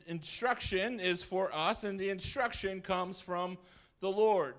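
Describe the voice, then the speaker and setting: A man's voice: high-pitched, a bit bright. A man preaching a sermon to a congregation in a plain-spoken, conversational way, using short, simple phrases. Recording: roomy echo, distant mic, faint noise.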